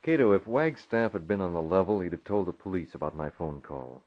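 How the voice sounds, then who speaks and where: An actor playing a determined vigilante hero in an old radio drama, brisk, self-assured and commanding. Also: male voice, deep voice, expressive. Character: confident, determined masked-vigilante hero and man about town. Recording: dull lo-fi vintage radio recording.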